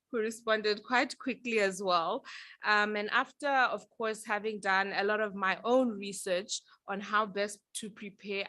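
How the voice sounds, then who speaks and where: A young woman from Zambia talks through her career path at a panel event in an earnest, reflective way. Her voice is bright and forward, recorded close and dry with almost no room sound. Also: medium pitch, no room sound. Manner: earnest, reflective, with some filler ums. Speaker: panel speaker. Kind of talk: prepared personal talk at a panel.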